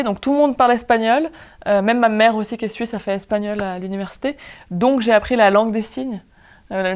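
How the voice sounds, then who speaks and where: A woman's voice: mid-range voice, a little mellow, clean tone. Hesitant young woman, casual talk. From Spain. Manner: hesitant, many fillers, quick asides. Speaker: improv performer. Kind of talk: casual interview. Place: outdoors at a campsite lake.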